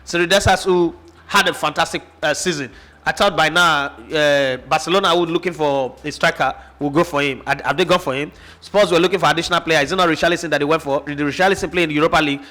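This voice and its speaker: A man's voice: fairly high pitch, quick pace, bright, forceful tone. Passionate Nigerian man arguing football. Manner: passionate, argumentative, fires rhetorical questions. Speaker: sports show host. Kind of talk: opinionated football analysis chat.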